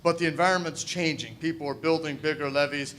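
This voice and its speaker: A man from Missouri, a political candidate answering forum questions in a loud, confident voice into a handheld mic in an event hall. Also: a little high, a little fast, slight background noise. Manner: loud, confident, says he has a big voice. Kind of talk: forum answers, public speaking. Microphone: handheld microphone.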